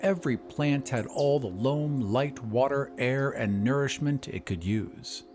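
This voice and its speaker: A man's voice: medium pitch, unhurried, a little mellow, soft background music. A man hosting a storytelling podcast, reading scripted narration. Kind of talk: scripted audiobook-style narration.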